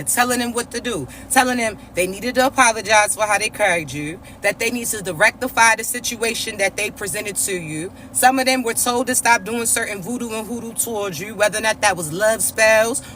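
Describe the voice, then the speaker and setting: Raspy, congested high-voiced man. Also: sharp tone, slight background noise. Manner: slow, repetitive preaching with frequent "all right?" check-ins. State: congested, stuffy nose. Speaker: spiritual reader and coach. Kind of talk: spiritual reading message to viewers.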